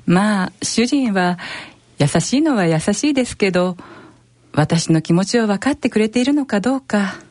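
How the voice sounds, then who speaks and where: A woman's voice: mid-range voice, expressive, normal speed, darkish tone, no room sound, lightly acted. A woman playing an anxious, polite married patient who feels misunderstood, in an amateur radio drama. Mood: anxious, dissatisfied.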